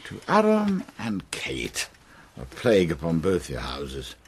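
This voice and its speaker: A man's voice: low-pitched, wildly swooping pitch, slow pace, a little hiss or hum, heavily acted. An actor playing a stubborn, proud older English farmer and father in a naturalistic radio soap. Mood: sardonic, defiant. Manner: theatrical, sardonic, sometimes pleading.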